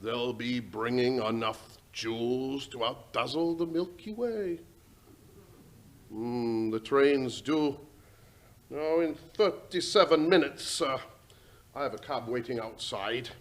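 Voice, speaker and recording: A man's voice: mid-range voice, takes it slowly, close to an everyday voice. A man playing a worried, folksy station master. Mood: puzzled, earnest. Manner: hesitant, folksy, respectful. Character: deferential, worried working-class English railway station master. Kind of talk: old-time radio drama acting.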